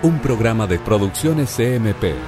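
Locutor grave y pausado sobre música fuerte. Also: male voice, fairly low pitch, lively intonation. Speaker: programme announcer. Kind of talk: scripted radio presentation. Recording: loud music under the voice.

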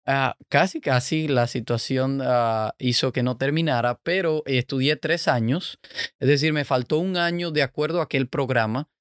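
A young Cuban man with a Cuban Spanish accent, telling his church story in a casual, halting way full of fillers. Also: medium pitch, clean tone, no echo, clean studio sound. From Cuba. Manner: halting, many fillers and restarts. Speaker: podcast co-host. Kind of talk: casual testimony chat.

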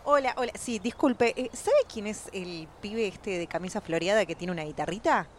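A woman acting in comedic radio theatre as an excitable, bossy time traveler, with an Argentine accent and urgent repetitions. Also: mid-range voice, moderate pace, lightly acted. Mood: breathless excitement. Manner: exclamatory, repeats words urgently. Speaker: radio theatre co-host and actor. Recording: clearly noisy background ambience.

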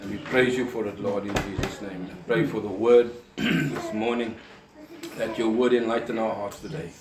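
A man's voice: fairly low pitch, fairly even intonation, normal speed, a crowd in the background. A man from a church group, speaking informally as in prayer or friendly talk with the others. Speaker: church member leading prayer. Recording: echoey room, distant, people around.

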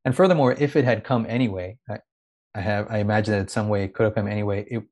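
A man who grew up in India talks in a reflective, searching way. His voice sits a little low, and he often restarts phrases as he gathers his thoughts. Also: quick pace, a little mellow. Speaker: guest. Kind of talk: reflective conversational interview.

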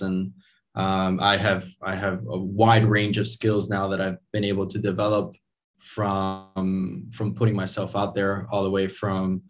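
Low flat-voiced man rambling casually. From Venezuela, raised in Miami. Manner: rambling with many fillers and restarts. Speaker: interview guest, digital nomad. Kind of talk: casual interview talk.

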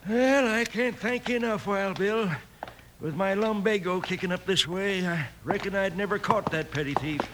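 An actor playing a folksy, grateful old sheriff with an American Western accent in a 1950s radio western. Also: male voice, sits high, moderate pace, fairly bright tone. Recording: faintly noisy old-time radio recording.

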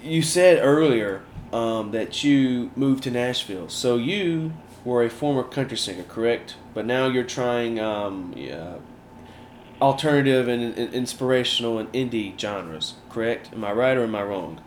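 A man's voice: medium pitch, expressive, unhurried. A man hosting a podcast interview, casual and affirming, often nodding along with short replies. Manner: casual, affirming, many backchannels. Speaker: podcast host. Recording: echoey room with faint noise.

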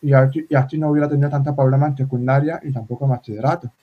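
A man's voice: medium pitch, fairly even intonation. Man with a sign-language first language. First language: Spanish Sign Language. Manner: halting, with many restarts and fillers. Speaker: guest. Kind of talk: casual interview talk. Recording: slightly dull lo-fi sound.

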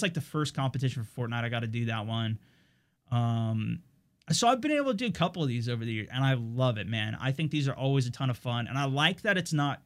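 A man's voice: medium pitch, a bit bright. A young man hosting his stream, chatting casually with viewers in a rambling way full of fillers and restarts.

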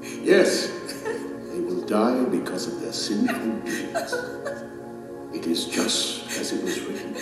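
A man's voice: lowish voice, wildly swooping pitch, slow, long pauses, exaggerated voice. An actor playing a stern, sorrowful divine king passing judgment in an audio drama, with a solemn, declamatory delivery. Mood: grave judgment. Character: majestic divine king judging souls, stern, sorrowful, commanding. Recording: cavernous long reverb under dramatic music.

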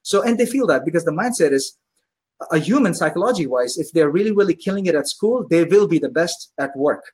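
Husky-voiced male restaurant owner, guest on an interview podcast, upbeat storyteller. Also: fairly high pitch, animated, a little fast, a little mellow. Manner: enthusiastic storyteller, acts out customers' voices. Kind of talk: casual interview chat.

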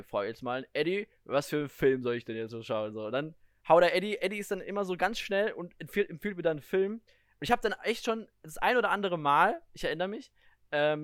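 A young man chatting casually as a podcast co-host, giggly and lively, often restarting his sentences. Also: fairly high pitch, no room sound, clean studio sound. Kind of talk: casual chat.

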